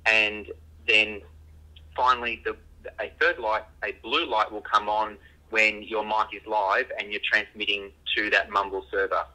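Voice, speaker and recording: A low-pitched man with a very sharp, bright edge comes through a walkie-talkie link. He explains things off the cuff, haltingly, repeating words as he goes. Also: little pitch movement, low-quality recording. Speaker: engineer being interviewed. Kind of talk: unscripted interview explanation.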